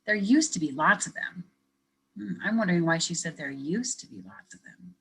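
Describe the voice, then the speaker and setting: A woman reads a children's picture book aloud in a low, slightly husky voice with a bright edge. She reads expressively and gently, stopping to ask young listeners questions. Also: expressive, moderate pace. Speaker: educator reading aloud to children. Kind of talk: children's read-aloud with teacher commentary.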